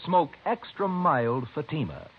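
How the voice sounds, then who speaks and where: A man's voice: on the high side, expressive, a little slow, slightly rough. A man with an American accent reading an advertisement as a 1950s radio announcer. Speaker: commercial announcer. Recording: tinny, narrow vintage radio recording.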